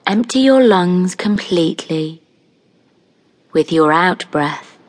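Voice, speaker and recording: A woman narrating a scripted guided meditation in a soothing, gentle manner, leaving long pauses between short phrases. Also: lowish voice, animated.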